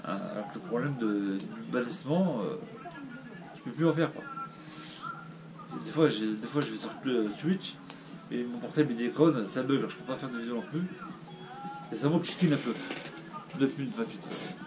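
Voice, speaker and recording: A man's voice: mid-range voice, normal speed, long pauses, over music, poor audio. Dejected man with a stuffy cold voice. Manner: rambling, hesitant, with many fillers and restarts. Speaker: YouTuber. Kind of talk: casual vlog talking to viewers.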